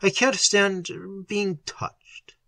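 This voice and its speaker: Horror audiobook role: male reader as haunted, anguished explorer, moaning and faltering, husky, wildly varied pitch. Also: wildly swooping pitch, fairly bright tone, no echo, heavily acted. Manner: halting, moaning and sobbing, anguished. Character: tormented, trembling male explorer back from captivity. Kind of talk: dramatic horror audiobook acting.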